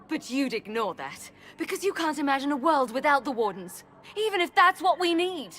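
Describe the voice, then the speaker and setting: An actress playing a sardonic, combative warrior heroine in a fantasy video game, dry and sarcastic. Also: female voice, high voice, sing-song, normal speed, fairly bright tone. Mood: heated. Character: sardonic, combative adult female warrior hero.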